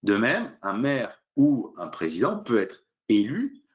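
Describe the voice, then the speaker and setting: Un homme qui fait une présentation juridique explicative, semi-improvisée, de façon didactique, pas à pas, avec beaucoup d'hésitations. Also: male voice, medium pitch, wildly swooping pitch, slow, lo-fi sound. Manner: hesitant, didactic, step-by-step with frequent fillers. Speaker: lawyer presenting a legal webinar. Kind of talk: explanatory legal presentation, semi-improvised.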